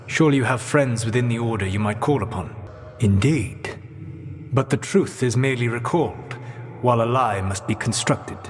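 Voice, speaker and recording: An actor playing a solemn, proud, regretful ancient elf mage in a video game, speaking in a measured, formal way. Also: male voice, medium pitch, lightly acted. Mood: shrewd, composed. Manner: measured, formal, deliberate, grave. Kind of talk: video game dramatic dialogue. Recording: long echoing reverb.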